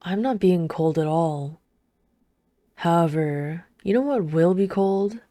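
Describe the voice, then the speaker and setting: A woman acts out a reserved knight in a fantasy ASMR roleplay. Her voice is deep and velvety, recorded close and dry, with formal, wry restraint. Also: slow pace, mellow, no room sound. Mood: dry teasing. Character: reserved, dutiful female knight, dryly scolding royal lover.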